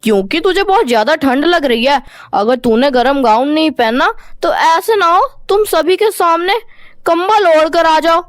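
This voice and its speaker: A woman's voice: high-pitched, expressive, normal speed, no room sound, clean studio sound. A woman voicing a mild, long-suffering young husband in a cartoon moral story. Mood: practical.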